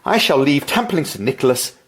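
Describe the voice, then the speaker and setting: English male schoolmaster, radio play: earnest, dryly indignant, formal diction, very animated intonation. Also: medium pitch, brisk, breathy edge. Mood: defiant. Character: principled, wry, earnest schoolmaster resigning his post. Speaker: lead actor and narrator. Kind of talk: radio drama acting and narration.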